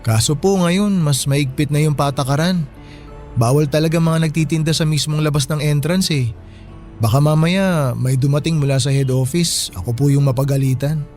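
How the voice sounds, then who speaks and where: A man's voice: on the high side, moderate pace, darkish tone, soft background music, lightly acted. A man playing a respectful but firm middle-aged bank guard in a radio drama. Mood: apologetic.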